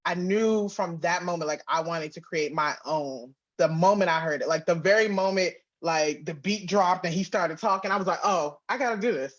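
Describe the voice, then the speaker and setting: A woman chatting casually as an interview guest, speaking in an animated, informal way peppered with "like". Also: fairly low pitch, moderate pace, few pauses, muffled recording. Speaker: guest, audio drama writer and producer.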